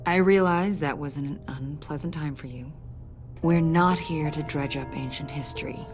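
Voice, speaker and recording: An actress playing a probing, coolly confrontational female government agent in a dramatic story video game, measured and pointed. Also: deep voice, lightly acted. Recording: dialogue over clear background music.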